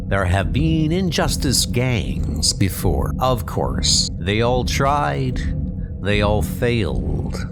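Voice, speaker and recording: A man voicing a proud, cold criminal mastermind in a comic book dub, measured, haughty and menacing, with music behind him. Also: medium pitch, exaggerated voice. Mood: cold, stern.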